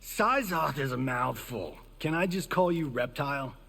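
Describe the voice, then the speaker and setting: A man playing a cocky, wisecracking film-star fighter in a video game, husky and full of slang. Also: mid-range voice, animated, a little slow, bright, forceful tone, long reverb tail, exaggerated voice. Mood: cocky, teasing. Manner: slangy, joking. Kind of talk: video game character dialogue.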